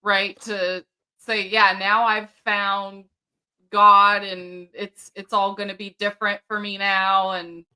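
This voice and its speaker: A woman hosting a casual commentary chat, chatty and quick to laugh. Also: medium pitch, level tone, bright, forceful tone, clear, resonant tone, poor audio. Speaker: host.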